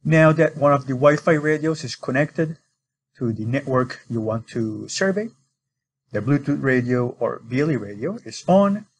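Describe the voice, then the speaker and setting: A man's voice: mid-range voice, slight background noise, rough recording. A man narrating an instructional screen recording as a product demonstrator, explaining step by step in an informal way.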